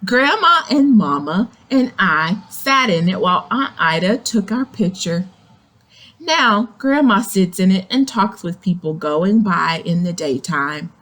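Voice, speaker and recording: A woman reading a picture book aloud to young children, expressive and explanatory, speaking to them directly. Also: mid-range voice. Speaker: children's story reader. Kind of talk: animated read-aloud of a picture book with asides to young listeners.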